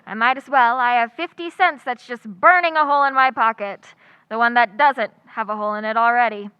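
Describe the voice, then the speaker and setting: Woman acting wry female schoolteacher, high clear voice, sardonic wisecracks, old-time radio comedy. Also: fairly quick, no echo, highs cut off, natural voice. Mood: dryly sarcastic. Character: wry, quick-witted schoolteacher woman with sarcastic asides.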